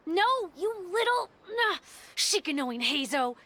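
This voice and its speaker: A woman's voice: very high voice, big pitch swings, measured pace, sharp tone, heavily acted. A woman voicing a bossy, hot-tempered young female detective agency boss in a video game, scolding and accusatory. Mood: outraged.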